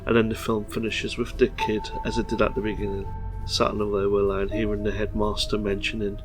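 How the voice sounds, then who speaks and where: A man with a fairly low voice presents a film review over clearly audible music. His delivery is plain and informal, partly read and partly off the cuff, with halting phrasing. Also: completely flat delivery, poor audio. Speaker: vlog host. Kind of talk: film review commentary, part scripted.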